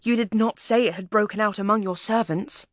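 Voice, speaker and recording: A woman's voice: mid-range voice, fairly even intonation, brisk, no room sound, clean studio sound, natural voice. A woman voicing a shaken young army officer in an audiobook, grave and trembling.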